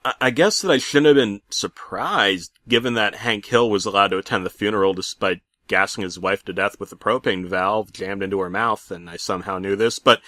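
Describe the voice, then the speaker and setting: A man narrating a comic creepypasta theatrically, swinging between hushed and loud delivery. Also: fairly low pitch, dry recording. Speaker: horror story narrator.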